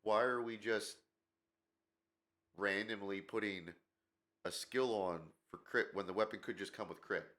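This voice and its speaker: Low-voiced gaming guy w a stuffed up nose, rambly, lots of uhs and ums. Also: fairly even intonation, unhurried, pauses often, a bit bright. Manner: rambling, many fillers, opinionated. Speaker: gaming channel host. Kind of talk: casual commentary video.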